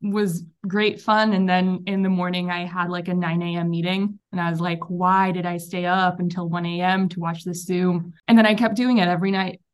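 A young woman with an Israeli accent chats easily as a podcast guest, on the lower side for a woman's voice. She talks in a lively, self-mocking way, often doubling back mid-sentence. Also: moderate pace, few pauses. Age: 20s. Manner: chatty, animated, self-deprecating with repeated restarts. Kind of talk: casual chat.

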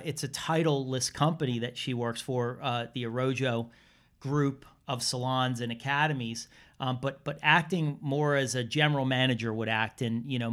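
A man's voice: mid-range voice, a bit bright. A man hosting a podcast, introducing a guest in a rambling, enthusiastic way full of fillers. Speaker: podcast host.

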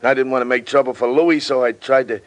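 A male actor as a small-time American crook in an old-time radio drama. He is surly and defensive, with a medium-pitched voice and blunt, ungrammatical street talk. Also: fairly even intonation, quick pace, lightly acted. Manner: blunt, slangy, ungrammatical tough-guy talk. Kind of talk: 1940s-50s American radio crime drama acting.

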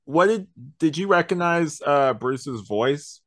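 A man's voice: fairly high pitch, animated, slow pace, a bit bright, no echo, clean studio sound. A young man co-hosting a podcast, giving a casual, rambling conversational review. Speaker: podcast co-host.

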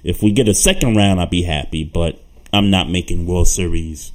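Casting: very low-pitched man, easygoing podcast co-host, stammers and restarts. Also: moderate pace. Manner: relaxed, stammers and repeats words. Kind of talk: casual sports talk.

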